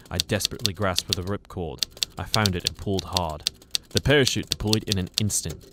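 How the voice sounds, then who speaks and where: A man's voice: on the low side, lightly acted. A man playing a prim, timid English war reporter in a radio drama, formal. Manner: formal, stammering when flustered. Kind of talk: radio drama narration and acting. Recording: very loud noise and typewriter clatter.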